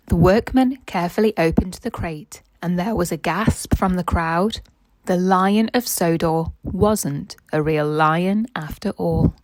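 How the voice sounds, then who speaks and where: A woman's voice: lowish voice, darkish tone, no room sound. A woman reading a children's story aloud as narrator and quiz host.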